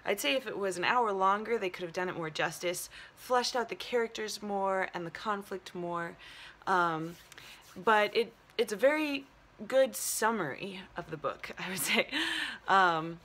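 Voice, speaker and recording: A woman's voice: mid-range voice, a little slow, fairly bright tone. A woman hosting a casual solo movie review, talking in loose, hesitant phrases as she thinks aloud. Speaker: movie review show host.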